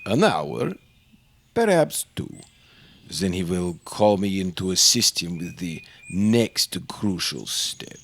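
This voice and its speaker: A man as a low-voiced, haughty radio scientist. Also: sing-song, creaky, fairly bright tone, exaggerated voice. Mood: disdainful. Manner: formal, halting, dramatic. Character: secretive, haughty older male scientist, formal and intense. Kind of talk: old-time radio drama acting.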